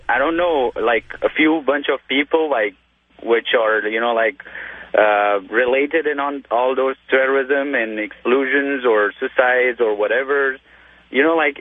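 A man's voice: mid-range voice, dry recording. Afghan-accented male caller on narrow phone line. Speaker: radio show caller. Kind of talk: halting, earnest explanation. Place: phone call into radio show.

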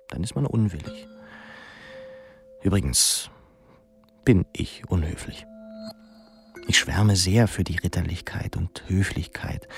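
An actor playing a wry, dreamy, self-mocking young pupil in a radio drama monologue, musing and halting in a theatrical way. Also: male voice, deep voice, gravelly, darkish tone, heavily acted. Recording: music behind the voice.

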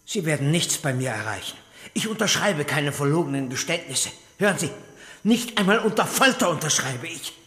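Embattled male prisoner, radio drama: stubborn, earnest, tense sharp voice, echoing interrogation room sound. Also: fairly high pitch, sing-song, moderate pace. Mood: defiant. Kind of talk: German radio drama acting. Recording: roomy echo, long reverb.

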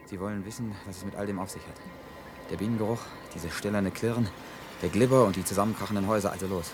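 Actor as low-voiced, grimly sardonic man under threat. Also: quick pace, noisy background, natural voice. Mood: bitterly sardonic. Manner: commanding, bitter, sarcastic. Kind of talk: German science-fiction radio drama acting.

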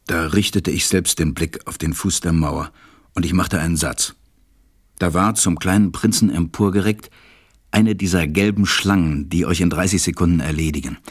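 A stranded pilot telling his story in a radio play. His voice is low, tender and melancholy. It is recorded dry and close. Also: steady tone, normal speed, slightly rough, no room sound. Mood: tense narration. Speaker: narrator. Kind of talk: audio drama narration and acting.

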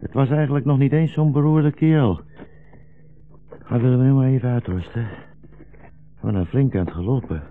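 An actor playing a weary, resigned old blind rabbi in a 1960s radio drama, measured and formally polite, with a meek irony. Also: male voice, mid-range voice, close to an everyday voice. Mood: tired, reassuring. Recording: dull 1960s radio recording, music behind.

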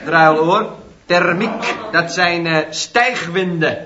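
Laconic nephew: dry, short replies, high voice, dull off-mic radio sound. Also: male voice, takes it slowly, a crowd in the background. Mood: deadpan. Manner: laconic, deadpan. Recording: distant, lo-fi radio with hiss.

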